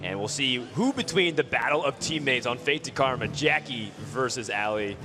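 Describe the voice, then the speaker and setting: A man casting a live card game tournament, giving upbeat, lively play-by-play. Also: medium pitch, normal speed, music in the background. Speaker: esports caster. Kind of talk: live esports commentary.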